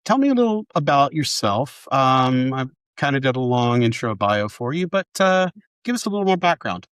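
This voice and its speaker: A man's voice: mid-range voice, big pitch swings, moderate pace, fairly bright tone, smooth, no echo, clean studio sound. A man speaking as a podcast host and publisher, presenting and interviewing. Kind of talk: scripted podcast introduction, then casual interview.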